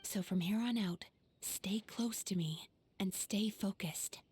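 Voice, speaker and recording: Composed young female healer, unhurried, husky-soft delivery, anime game. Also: mid-range voice, no echo. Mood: serious, hushed. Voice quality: soft, breathy. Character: gentle, composed young woman, caring healer.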